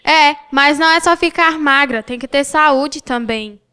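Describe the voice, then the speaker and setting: Radio soap casting: female amateur as sensible advice-giving schoolgirl, high voice, northeastern Brazilian accent, recited delivery. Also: a little slow, bright, forceful tone. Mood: matter-of-fact.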